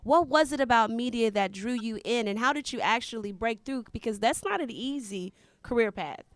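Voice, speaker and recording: A woman's voice: on the high side, normal speed, no echo, studio quality. An American woman hosting a casual live interview, chatting in an informal, colloquial way. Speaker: talk show host.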